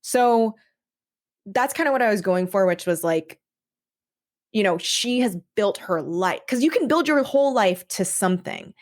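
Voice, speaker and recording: A woman with a mid-range voice speaks as a creative guest in a casual podcast conversation. She explains storytelling in an animated, informal way, often slipping into little imagined reactions as she goes. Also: mid-range voice, normal speed. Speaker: guest, audio drama creator.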